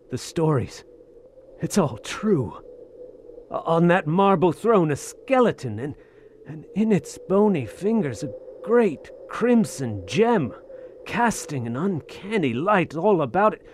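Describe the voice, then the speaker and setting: A bold, theatrical adventurer. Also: male voice, fairly high pitch, sing-song, slight background noise. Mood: excited awe. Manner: theatrical, awestruck, halting phrasing. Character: bold, greedy Western soldier of fortune exploring ruins. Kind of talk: audio drama acting.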